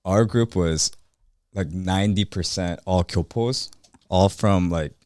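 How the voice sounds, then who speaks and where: Man, 30s, very low voice, dry sound. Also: takes it slowly, a little mellow, dry recording. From United States. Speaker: guest. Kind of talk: casual chat.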